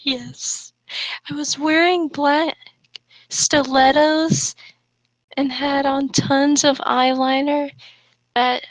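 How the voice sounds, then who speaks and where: A woman's voice: sits high, little pitch movement, drawn-out words, pauses often, dry recording, low-quality recording. A woman reading fan fiction aloud for comedy, breaking into casual, playfully exasperated commentary.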